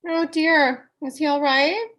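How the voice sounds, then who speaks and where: Lady acting as oblivious sweet wife, really high voice, super slow, comedy read. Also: fairly bright tone. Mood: placid. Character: mild, doting, oblivious wife. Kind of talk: live comedy table read.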